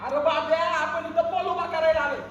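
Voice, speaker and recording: A man playing a brisk, reproachful workmate who scolds the others, in an amateur stage play in an echoey hall. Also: very high-pitched, little pitch movement, fairly bright tone, highs cut off, slight background noise. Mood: scolding. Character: scolding workmate breaking up squabbles. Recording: very echoey hall, distant mic.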